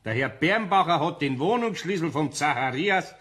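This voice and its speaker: An actor playing a sly, good-humoured older craftsman in a children's radio play, husky, teasing, with a Bavarian accent. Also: on the high side, expressive, normal speed, a bit bright. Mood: teasing amusement. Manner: teasing, chuckling.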